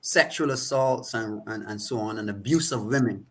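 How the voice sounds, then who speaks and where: A man in his forties with a Barbadian accent, a counselor answering interview questions in a reflective, halting way. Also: on the low side, a bit bright. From Saint Vincent and the Grenadines. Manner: reflective, halting with repeated restarts. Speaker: counselor and guest.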